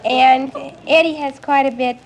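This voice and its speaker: A woman's voice: high voice, steady tone, measured pace, a crowd in the background. A nineteen-year-old American woman from California, answering a quiz show host's questions as a contestant. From California, United States. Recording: noisy vintage broadcast.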